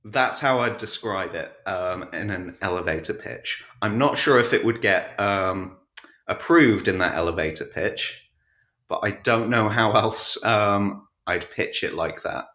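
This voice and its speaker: A man's voice: lowish voice, measured pace, sharp tone, roomy sound. A man chatting casually as an interview guest, with a hesitant, self-effacing way of talking. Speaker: podcast guest, writer and voice actor.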